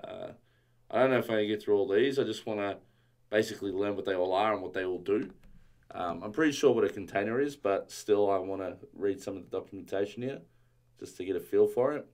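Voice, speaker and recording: Man, low voice, slightly strained, casual live-stream coding, reads docs aloud, desk mic. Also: fairly quick, a little mellow. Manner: muttering while reading documentation aloud.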